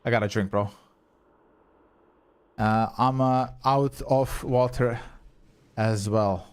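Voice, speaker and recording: A man's voice: medium pitch, steady tone, takes it slowly, clear, resonant tone. A young man whose first language is Croatian, chatting casually with viewers and swearing now and then while he plays on a live stream. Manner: chatty, reads and answers chat, swears casually. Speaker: game streamer.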